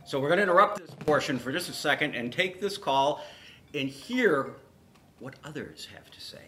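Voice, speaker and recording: A man's voice: a little high, animated, normal speed, a bit bright, faint music behind. A man co-hosting a call-in show, talking bluntly and casually with firm political opinions. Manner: blunt, agreeing firmly. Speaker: talk show co-host taking calls.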